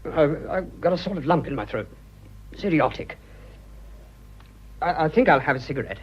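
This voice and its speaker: An actor playing an earnest, awkward young English businessman in love, halting and self-conscious, in a period radio drama. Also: a little high, lively intonation, muffled recording, a little hiss or hum, natural voice. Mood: choked up. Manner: halting, self-conscious, blurting, clipped old-fashioned speech.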